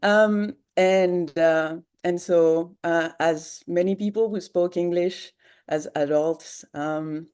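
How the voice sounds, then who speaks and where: A woman from France speaks English with a strong French accent, slowly and carefully. She is polite and a little self-deprecating, and she pauses often on fillers. She is heard over a video call. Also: fairly low pitch, steady tone, darkish tone, smooth. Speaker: seminar speaker.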